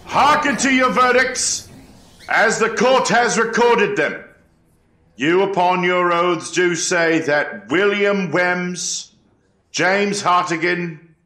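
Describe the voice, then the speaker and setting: A man's voice: high voice, pushed and bright, full character voice. A man playing an eighteenth-century court clerk, calling out formal, ritual proclamations to a jury. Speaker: actor in a historical trial reenactment. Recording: echoey room.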